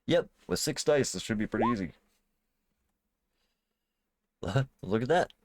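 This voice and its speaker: A man's voice: medium pitch, lively intonation, brisk. A man improvising narration as game master of a tabletop role-playing game, in a conversational way, often checking that he is understood. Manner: conversational, often asks "you know what I mean".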